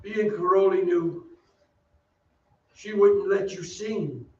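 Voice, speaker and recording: An actor playing a masked, lovesick, possessive phantom in an old-time radio drama read on stage. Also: male voice, sits high, slow, mellow, recorded from a distance. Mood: menacing tenderness. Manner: cajoling, possessive, theatrical. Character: masked, obsessive, lovesick phantom luring a young singer. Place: theatre stage, away from the mic. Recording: dull, noisy, roomy stage pickup from afar.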